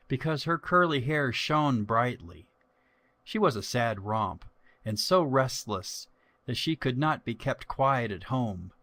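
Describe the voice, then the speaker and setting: A man narrating a children's story from a script in a measured, expressive way. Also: medium pitch.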